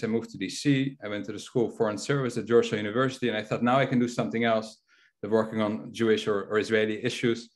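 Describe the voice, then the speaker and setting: A man in his thirties from the Netherlands with a Dutch accent, speaking plainly as a guest on a video call, often restarting his phrases. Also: medium pitch. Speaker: security organization executive.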